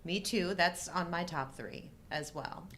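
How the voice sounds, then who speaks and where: A woman's voice: on the low side, measured pace, a bit bright, slight background noise. A middle-aged woman co-hosting a live show in casual, playful, agreeable banter.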